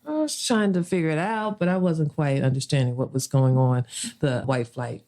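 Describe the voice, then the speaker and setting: A woman's voice: sits low, moderate pace, dark tone. Laughing woman telling her story. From Philadelphia. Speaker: guest artist. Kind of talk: casual storytelling interview.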